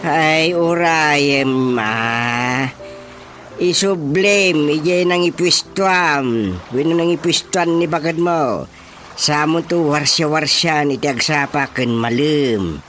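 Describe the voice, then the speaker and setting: A man acts out an exasperated Ilocano village chairman for comic radio drama. He drags his words out slowly and emphatically. Music runs clearly beneath him. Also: a little high. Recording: loud music bed under voice.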